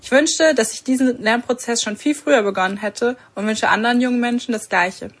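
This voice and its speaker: A woman's voice: fairly high pitch, fairly quick, breathy edge. A woman giving an earnest, reflective personal statement.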